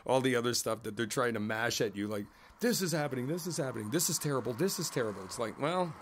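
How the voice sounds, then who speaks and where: A man playing a kindly, philosophical older neighbor in an ASMR roleplay, talking in an easygoing, folksy, rambling way with thoughtful pauses. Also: mid-range voice, lively intonation, a little hiss or hum, close to an everyday voice. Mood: wry, carefree. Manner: folksy, rambling, pausing, chuckling between thoughts. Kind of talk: ASMR roleplay, one-sided conversational monologue.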